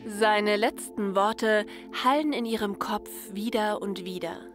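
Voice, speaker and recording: A woman's voice: mid-range voice, unhurried. A woman reading scripted narration for a science-fiction audio story. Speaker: narrator and writer. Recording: music under the voice. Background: music.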